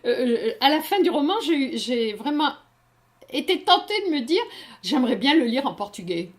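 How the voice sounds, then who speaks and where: A woman hosts a radio book interview with a somewhat high voice that rises and falls a great deal. She sounds engaged and often turns to address her listeners directly. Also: big pitch swings, normal speed. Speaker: radio show host.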